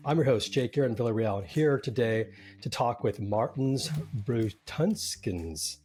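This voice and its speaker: A man's voice: mid-range voice, animated, unhurried, darkish tone, breathy edge, faint music behind. A man hosting a startup podcast, interviewing a founder in an upbeat, conversational way. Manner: upbeat, scripted intro then conversational. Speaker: podcast host.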